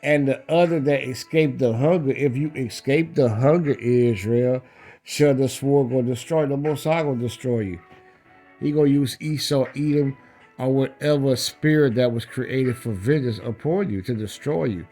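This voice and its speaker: A man's voice: medium pitch, normal speed, mellow, soft background music. A man preaching in a scripture read-along, emphatic, repeating phrases and checking in with rhetorical questions.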